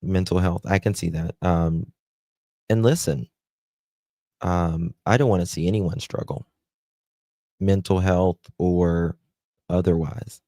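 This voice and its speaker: A man with a Southern US accent hosting a live stream, chatting slowly with viewers and reading their comments aloud. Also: low voice, steady tone, mellow, no room sound, clean studio sound. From United States. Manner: slow, chatty, drawling, talks to viewers by name. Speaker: livestream host.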